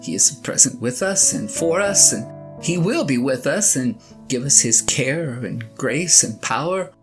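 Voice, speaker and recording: A woman's voice: a bass voice, animated, unhurried. A woman playing a flustered, self-doubting shepherd husband in an audio drama, stammering earnestly as the character confides in a spouse. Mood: hesitant, earnest. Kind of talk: one-sided audio drama monologue, conversational and comic. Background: plucked guitar music.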